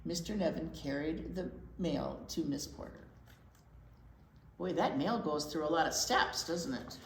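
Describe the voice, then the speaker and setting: A woman's voice: low-pitched, a little slow, creaky. A woman presenting a children's storytime, reading a picture book expressively and chatting with simple questions for young listeners. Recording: echoey room, faint background noise.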